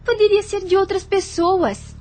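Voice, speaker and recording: A woman's voice: very high voice, fairly even intonation, measured pace, a little mellow. A woman voicing a young sister in an audio drama, questioning and emotional. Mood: worried. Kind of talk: radio drama acting.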